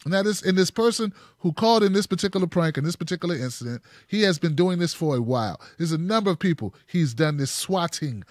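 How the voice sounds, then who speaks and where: A man's voice: fairly high pitch, dry recording, studio quality. A man hosting a live call-in show, talking casually and emphatically, calling people brother and man.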